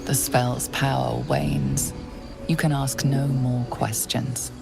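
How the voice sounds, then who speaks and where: An ominous, knowing female narrator for dark fantasy. The voice is low and gravelly, delivering each line in a measured, portentous way over very loud music. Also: level tone, heavily acted. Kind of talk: scripted second-person game narration. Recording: loud game music behind.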